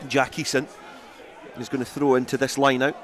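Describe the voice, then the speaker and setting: Man, rugby play-by-play commentator, live radio style, mid-range pitch, outdoors at the ground. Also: little pitch movement, moderate pace, some chatter behind.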